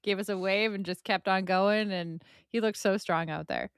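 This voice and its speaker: A woman's voice: medium pitch, fairly even intonation, normal speed, clear, resonant tone, dry recording, studio quality. Giggly woman podcast co-host. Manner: giggly, teasing. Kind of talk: casual chat.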